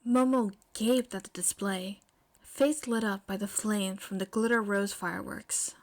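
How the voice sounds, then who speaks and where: A woman reading scripted fiction aloud as an expressive podfic narrator. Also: mid-range voice, measured pace.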